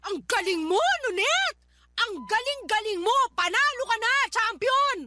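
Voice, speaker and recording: A proud, scornful Filipina employee in a melodramatic radio drama. Her voice is very high, bright and wildly animated, dripping with sarcasm and bitterness. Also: unhurried, faint music behind, full character voice. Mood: mocking, furious.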